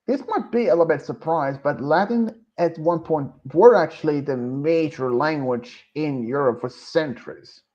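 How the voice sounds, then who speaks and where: A man streaming who speaks English with a Swedish accent as a non-native speaker, talking casually in a hesitant, rambling way with many pauses and fillers. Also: fairly high pitch, a little mellow. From Sweden. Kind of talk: casual live-stream chat. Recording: dull, lo-fi streaming mic.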